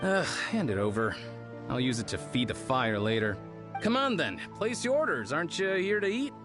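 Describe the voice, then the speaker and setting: A man's voice: medium pitch, very animated, normal speed, natural voice. A man voicing a brash, confident, impatient street-food cook in a video game. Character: cocky, brusque male street-food chef. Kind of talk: video game character dialogue. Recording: music behind the voice.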